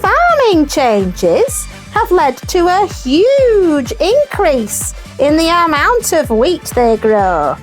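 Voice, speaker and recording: A playful young puppet co-host with a foreign accent. The voice is very high and wildly animated, with words drawn out very slowly. It sounds like children's comedy news. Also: exaggerated voice. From Caracas, Venezuela.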